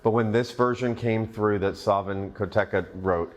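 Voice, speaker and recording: A man's voice: on the low side, moderate pace. A man, a film director, telling behind-the-scenes stories in an interview, enthusiastic and rambling with frequent restarts. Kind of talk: interview, storytelling anecdotes.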